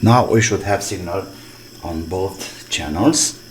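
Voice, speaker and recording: Low-voiced, slow-talking man. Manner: halting, thinking aloud in short fragments. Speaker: electronics repair hobbyist presenting his restoration. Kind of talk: casual hands-on repair commentary. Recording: clearly noisy recording with clicks.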